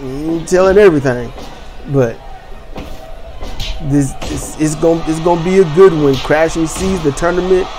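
Host voice: energetic rambling man, fairly high voice, game music behind. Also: moderate pace, pauses often, low-quality recording. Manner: energetic, chatty, rambling with restarts. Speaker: host and commentator. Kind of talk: video game wrestling commentary.

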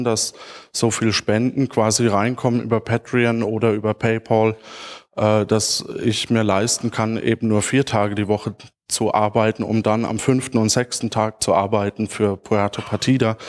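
A man in his thirties answers questions as a speaker, phrasing things informally and searchingly into a handheld microphone. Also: on the low side. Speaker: conference speaker. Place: large auditorium stage.

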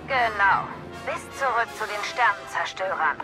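An actress playing a determined squad commander in a video game, firm and commanding. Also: female voice, mid-range voice, animated, moderate pace, lightly acted. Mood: urgent. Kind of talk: dramatic video game acting. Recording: loud music behind, roomy echo.

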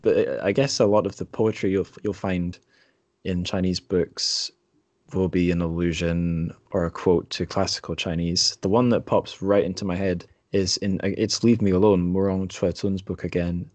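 A man's voice: deep voice, steady tone, normal speed, darkish tone, dry recording. A man hosting a podcast interview in a casual, conversational way. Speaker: podcast host.

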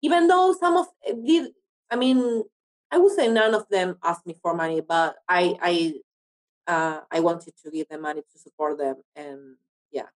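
A woman's voice: fairly low pitch, expressive, moderate pace, rough recording. A woman from Argentina with an Argentine accent, a native Spanish speaker, talking casually as an interview guest and often searching for her words. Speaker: interview guest, actress and TV producer.

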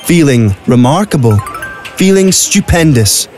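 A man's voice: fairly high pitch, expressive, measured pace. A man narrates an audio drama in a mid-range voice, telling the story as scripted prose. Music plays faintly behind him.

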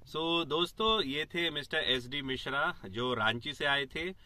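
A man's voice: a little high, expressive, a little slow, sharp tone, no echo. A man in his thirties hosting an interview, asking questions and addressing viewers as a voice trainer. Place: small studio room, indoors.

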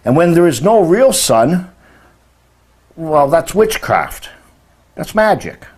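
A man's voice: a little high, big pitch swings, slow pace, darkish tone, breathy edge. Didactic older man giving an informal lecture. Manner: didactic, emphatic, poses rhetorical questions. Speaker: religious teacher. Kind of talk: informal lecture explaining word meanings. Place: indoor room beside a presentation screen.

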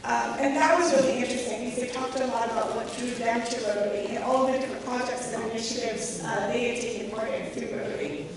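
A woman's voice: fairly high pitch, fairly even intonation, fast, hardly any pauses. A woman in her twenties with an American accent gives a prepared talk, her voice scratchy from a cold. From Kansas, United States. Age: 20s. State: recovering from a cold. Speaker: guest speaker, scholarship recipient. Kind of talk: prepared talk to a club audience. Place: large hard-walled meeting room. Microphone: handheld mic, heard through room from camera. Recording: echoey hall PA from far off, noisy.

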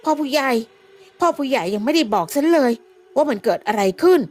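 A rough, cheeky male villager who swears freely and teases with a fawning edge, put on by a woman narrator. The voice is pitched high and brash, as in a told ghost story. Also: expressive, brisk, a little mellow. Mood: teasing fawning.

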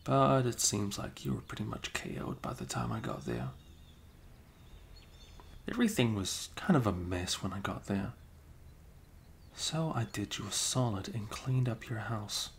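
A man doing an improvised ASMR roleplay as a best friend, soft-spoken and hesitant, slipping into whispers, close to the microphone. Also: fairly low pitch, sing-song, unhurried, vocal fry, long pauses, a little hiss or hum.

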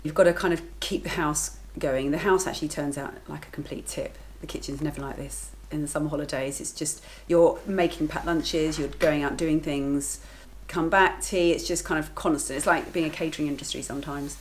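Low-voiced woman chatting about her busy life. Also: fairly even intonation, brisk, hardly any pauses, slight background noise. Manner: chatty, matter-of-fact, self-deprecating. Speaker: vlogger, lifeguard and working mother. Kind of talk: casual talk to camera. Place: home kitchen, indoors.

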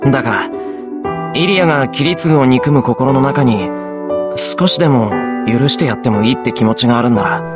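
A man's voice: medium pitch, fairly quick, over loud music, close to an everyday voice. A man voicing an earnest, kind, plain-spoken teenage boy in a Japanese visual novel. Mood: earnest, resolute. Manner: sincere, earnest.

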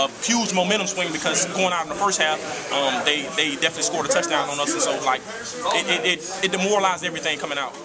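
A young college football player giving a postgame locker room interview, halting and full of fillers. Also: male voice, a little high. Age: young adult. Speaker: college football defensive back. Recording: loud crowd noise behind.

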